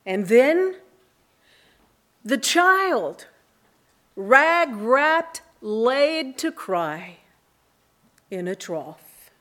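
A woman giving a reflective poetry reading as a church reader, with deliberate, dramatic phrasing and pauses. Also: sits high, sing-song, fairly bright tone.

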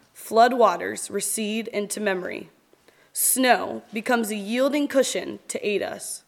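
A woman giving a solemn, expressive reading of scripture and poetry in a church service, with measured phrasing and deliberate pauses. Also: mid-range voice.

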